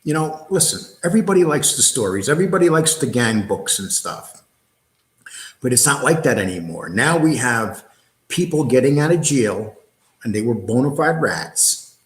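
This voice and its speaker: A man's voice: medium pitch, expressive, moderate pace. Guy hosting a live show, roomy echo, blunt rambling talk, reads out comments. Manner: blunt, rambling, uses rhetorical questions. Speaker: show host. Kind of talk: livestream talk, reading viewer comments.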